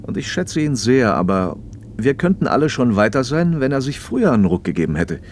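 A man acting in a German radio drama as an earnest, firm factory director, speaking in a measured, reasoning manner. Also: mid-range voice, sing-song, a little hiss or hum. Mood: earnest, probing.

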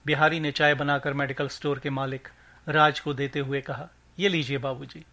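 A man's voice: a little high, level tone, normal speed, sharp tone. A man narrating a scripted short story for a podcast, reading as the host.

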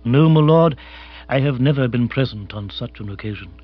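A man voicing an imperturbable, deferential English manservant in a comic storybook, formal, measured, precise and deadpan. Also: mid-range voice, lo-fi sound, lightly acted. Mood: unruffled, deferential.

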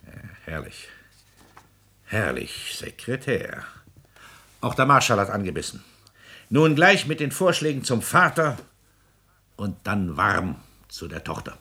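An actor playing a gleeful, scheming, high-ranking court official in a classic German radio tragedy, imperious and commanding. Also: male voice, very animated, unhurried, long pauses, bright, forceful tone, slightly rough, full character voice.

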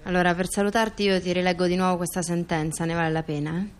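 An actress playing an earnest female intellectual in radio theatre, speaking in a deliberate, declamatory way. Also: on the low side, level tone, close to an everyday voice. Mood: solemn. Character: earnest female intellectual recounting court rulings. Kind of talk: theatrical address and formal reading.

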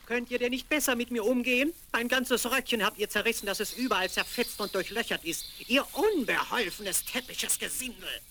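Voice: fairly quick, hardly any pauses, bright, forceful tone. An actor voicing a screeching, ungrateful little old dwarf in a fairy-tale radio play for children. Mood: indignant scolding. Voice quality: screeching, shrill. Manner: scolding, indignant, quarrelsome. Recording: crackly 1970s vinyl record.

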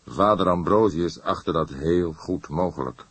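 A seasoned police inspector, acted by a male reader in a crime audiobook. His voice is deep and a little rough, recorded dry. He is thoughtful, wry and measured. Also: a bit bright, no echo, heavily acted. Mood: explanatory. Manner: measured, deliberate. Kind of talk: audiobook character acting.

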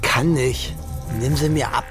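An actor playing a brooding, timid man in a radio drama, speaking in terse, halting, insistent phrases. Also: mid-range voice, fairly even intonation, music in the background, natural voice. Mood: pleading. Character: brooding timid man begging to be rid of his women.

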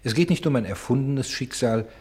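A man acting as an insistent, solemn narrator and director in a German radio play, speaking deliberately with emphatic pauses. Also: medium pitch, a little mellow, echoey room, natural voice. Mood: earnest, explaining. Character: solemn, insistent male stage narrator presenting a grave play. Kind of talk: theatrical German radio play, addressing the audience.

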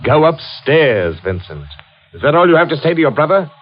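Husky, agitated, frightened man. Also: a little high, very animated, normal speed. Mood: taunting, warning. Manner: theatrical, urgent, pleading. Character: agitated, frightened rebellious brother warning strangers. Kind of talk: 1940s radio thriller acting. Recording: dull old 1940s radio recording.

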